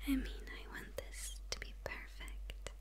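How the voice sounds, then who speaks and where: A young woman playing a smitten, menacing yet confused female supervillain in an ASMR roleplay, speaking in a breathy, hesitant whisper close to the microphone. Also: close to an everyday voice. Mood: coolly teasing. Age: young adult. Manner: slow, hesitant, halting murmur with pauses.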